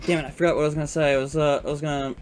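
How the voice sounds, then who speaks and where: A man's voice: a little high, level tone, very fast, soft background music. A man who stutters, chatting casually and profanely during a live art stream, rambling and self-deprecating.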